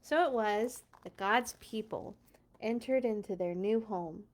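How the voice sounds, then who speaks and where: A woman's voice: mid-range voice, unhurried, slight background noise. A woman hosting a children's read-along, reading a storybook expressively with chatty, playful asides and dramatic emphasis.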